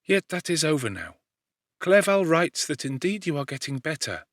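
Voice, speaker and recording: A man's voice: a little high, big pitch swings, moderate pace, fairly bright tone, dry recording, clean studio sound. A man reading as a tender young woman letter-writer. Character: affectionate young woman writing to her cousin. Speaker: audiobook narrator. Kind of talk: audiobook reading of a classic novel.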